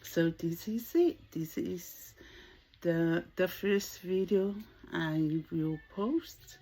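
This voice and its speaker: Slow-spoken Samoan woman in her sixties. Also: on the low side, warm tone. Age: 60s. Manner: hesitant, searching for English words. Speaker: vlogger. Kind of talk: casual talk to camera.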